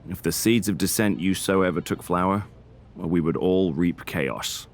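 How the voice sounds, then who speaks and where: A man's voice: low voice, normal speed, close to an everyday voice. A man playing a placating wrestling general manager, speaking formally and a little floridly in a video game cutscene. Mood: negotiating. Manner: formal, diplomatic, somewhat florid.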